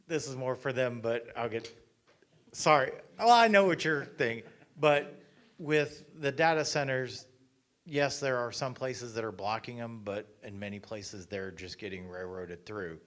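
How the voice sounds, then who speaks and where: A man's voice: medium pitch, a little slow, a bit bright. A man in the audience at a forum, earnestly asking a question.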